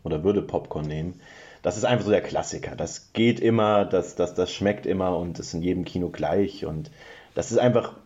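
A man's voice: deep voice, moderate pace, darkish tone, dull audio. A man from Franconia, a guest on a podcast, chatting in a self-deprecating way with many fillers. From Franconia, Germany. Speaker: podcast guest, brother of the co-host. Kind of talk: casual chat.

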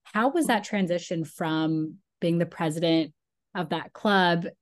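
A woman's voice: fairly low pitch, measured pace. A woman hosting a podcast, reading an introduction and then asking interview questions in an upbeat way. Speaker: podcast host. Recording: faint music behind.

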